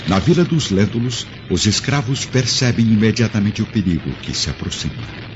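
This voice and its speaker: Narrador brasileiro, voz grave e enfática, estilo radionovela, gravação lo-fi com música. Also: male voice, lowish voice, animated, normal speed, noisy background. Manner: dramatic, emphatic. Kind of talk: dramatic radio drama narration. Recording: dull lo-fi sound with music. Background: music.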